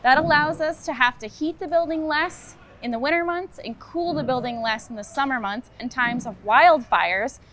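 Architect, woman, 30s, high voice, steady explainer, outdoor site, faint background noise. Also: normal speed, hardly any pauses. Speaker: project architect. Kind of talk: on-site interview.

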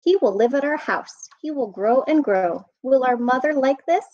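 A high-voiced American woman reads a rhyming picture book aloud for storytime. Her delivery is expressive and sing-song, with lively swings in pitch. The sound is slightly dull, as from a webcam. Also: moderate pace, no room sound. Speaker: children's storytime reader. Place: room at home. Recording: dull, muffled webcam audio.

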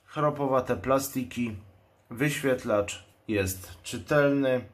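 Slow-paced man presenting a product. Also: mid-range voice. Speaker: product reviewer and channel host. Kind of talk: unboxing commentary. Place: indoors at a table. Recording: clear background noise, crinkling packaging. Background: noise, plastic packaging crinkles and clicks.

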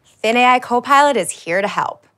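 A woman in her thirties giving a scripted product demo as a marketing presenter, with a polished, upbeat, clearly enunciated delivery. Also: fairly high pitch, animated, moderate pace, sharp tone. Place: large dark indoor studio stage.

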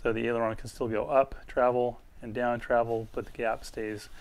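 A man giving an informal, hands-on workshop demonstration as an instructor, explaining in a halting way as he works. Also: medium pitch, little pitch movement, clean tone. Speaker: instructor, model glider expert. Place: small indoor hobby workshop.

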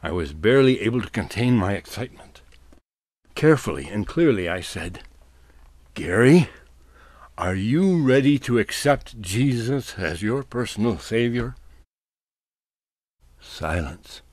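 A man delivering a wry scripted comic monologue with deliberate, dramatic pauses. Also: on the low side, sing-song, a little mellow, no echo. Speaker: storyteller.

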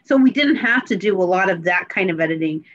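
A woman in a casual panel chat, telling things in an animated, self-deprecating way. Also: on the low side, quick pace. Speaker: community theater panelist. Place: indoors on a video call. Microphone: computer mic on video call. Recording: dull, lo-fi video call audio.

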